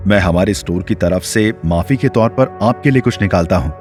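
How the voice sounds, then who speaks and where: Casting: man playing senior retail manager, lowish male voice, formal, authoritative, audio drama over faint music. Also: moderate pace, a little mellow, lightly acted. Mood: apologetic. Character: stern, deferential male senior store manager.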